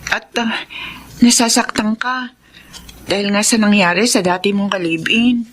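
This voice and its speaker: A woman's voice: medium pitch, a little slow, natural voice. An actress in a Filipino radio drama playing a devout, sympathetic woman who consoles her friend.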